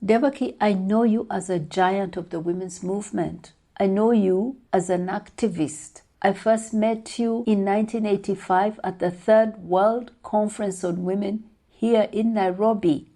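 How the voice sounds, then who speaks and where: A woman interviewer asking formal questions in a deliberate, respectful way. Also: mid-range voice, fairly even intonation, mellow.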